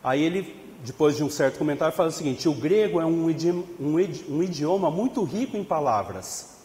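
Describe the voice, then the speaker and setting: A man's voice: a little high, expressive, brisk, mellow. A man with a Brazilian Portuguese accent leading a religious study group, explaining in a conversational way with rhetorical questions and frequent tag questions. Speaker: study group leader at a spiritist center. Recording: echoey hall with long reverb.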